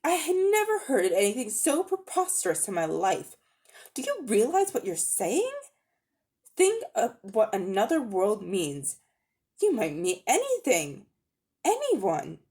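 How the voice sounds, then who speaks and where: Storybook uncle: elderly, pompous amateur magician, very animated delivery female reader playing male. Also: mid-range voice, unhurried, voice pushed up. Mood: affronted. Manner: pompous, self-important, easily affronted. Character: vain, pompous, grey-haired old uncle, an amateur magician.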